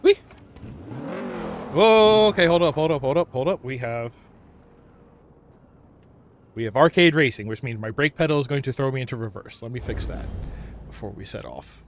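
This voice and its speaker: A man chatting casually on a gaming livestream, thinking aloud in a halting way. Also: medium pitch, lively intonation, a little hiss or hum. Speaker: game livestreamer.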